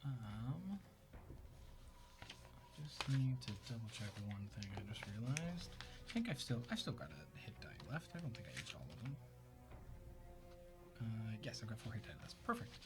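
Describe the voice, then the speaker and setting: A man's voice: medium pitch, big pitch swings, moderate pace, long pauses. A man from Canada playing a tabletop game, chatting casually and thinking aloud as he works things out. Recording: music behind the voice. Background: background music.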